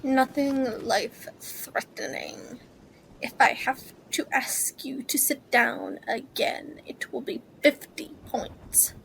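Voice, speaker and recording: A woman's voice: high voice, steady tone, slow, gravelly, fairly bright tone, a little hiss or hum, heavily acted, rough recording. A woman playing a stern, cold, sneering male wizard schoolteacher in an audio drama, speaking in a clipped, disdainful way.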